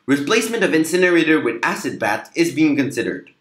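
Man, formal clinical reading, measured, animated pitch, echoey room. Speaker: narrator reading a document. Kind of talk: scripted document reading.

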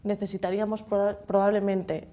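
A woman's voice: mid-range voice, flat delivery, brisk, warm tone. A woman in her thirties with a Galician Spanish accent, a TV interviewer asking questions in a conversational way in a studio. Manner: conversational, asks with tag questions.

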